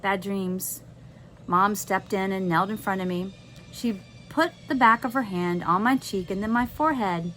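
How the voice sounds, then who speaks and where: A woman narrates a children's chapter book with expression and drama. Her pitch sits in a middle range, and noticeable noise runs behind the voice. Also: normal speed, a bit bright. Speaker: teacher reading aloud.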